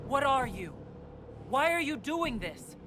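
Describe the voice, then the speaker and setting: A man's voice: extremely high pitch, sing-song, slow pace, noisy background, exaggerated voice. An actor playing a determined young male hero who speaks bluntly and defiantly, in a video game cutscene. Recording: distant, long hall reverb.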